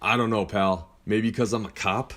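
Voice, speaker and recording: A man playing a world-weary police sergeant in an audio drama, gruff and sardonic, with slangy deadpan delivery. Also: fairly low pitch, moderate pace, close to an everyday voice. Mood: sardonic, steady.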